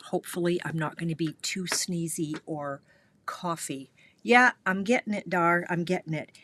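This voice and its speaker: A middle-aged woman from Canada hosting a live craft tutorial, her voice stuffy and a little scratchy from a cold, chatting and greeting viewers by name. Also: sits low. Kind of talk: casual live-stream tutorial chat.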